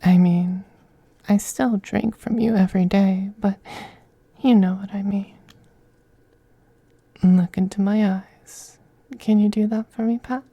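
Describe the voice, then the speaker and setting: A performer voicing a seductive, possessive male femboy vampire in an intimate ASMR roleplay, whispering softly and coaxing in a teasing, gently domineering way. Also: medium pitch, fairly even intonation, takes it slowly, long pauses, no echo, lo-fi sound. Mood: seductive, commanding. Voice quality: breathy, soft whispering.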